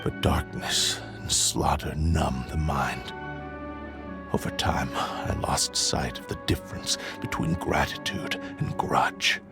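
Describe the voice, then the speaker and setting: An actor playing a remorseful, devoted sentient sword spirit in a video game, speaking in a solemn, formal way. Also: male voice, rumbling low, steady tone, slow, gravelly, full character voice. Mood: regretful, somber. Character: remorseful sentient cursed blade spirit, male, grave and devoted. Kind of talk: video game story acting. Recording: music behind the voice.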